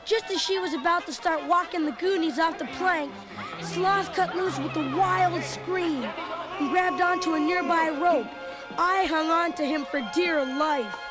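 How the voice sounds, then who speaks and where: A performer playing an excitable young boy who tells his adventure as animated, dramatic read-along storybook narration. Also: very high-pitched, unhurried. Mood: excited, urgent. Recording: loud music under the voice. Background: loud dramatic music.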